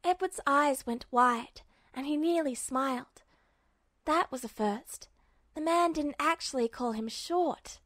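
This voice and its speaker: A woman's voice: high-pitched, slow, a bit bright, no room sound, studio quality. A woman reading a scripted story aloud as an audiobook-style fan fiction narrator. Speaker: podfic narrator.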